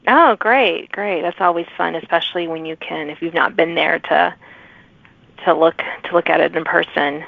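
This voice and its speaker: A woman's voice: on the low side, moderate pace, gravelly, dry recording, phone-quality sound. A woman hosting an interview podcast, asking friendly questions and giving encouraging responses. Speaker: podcast host.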